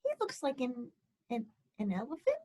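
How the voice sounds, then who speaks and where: A woman presenting a storytime for children, speaking to them directly in a deliberate, theatrical way. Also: high-pitched, wildly swooping pitch, dark tone. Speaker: guest storybook reader for children.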